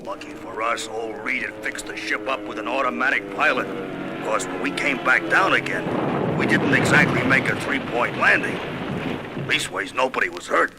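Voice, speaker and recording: An actor playing a gruff, sardonic monster hero narrating his past with slangy, world-weary delivery in a 1970s audio drama. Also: medium pitch, moderate pace, heavy background noise, close to an everyday voice. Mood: wry. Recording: vintage record with loud music behind.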